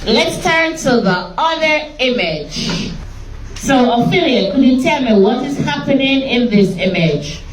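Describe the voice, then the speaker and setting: Slow-spoken teacher woman in echoey classroom. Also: high voice, lively intonation. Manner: slow, patient questioning of pupils. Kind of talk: classroom lesson and read-aloud. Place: classroom full of children. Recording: echoey room, mic far off, class chatter.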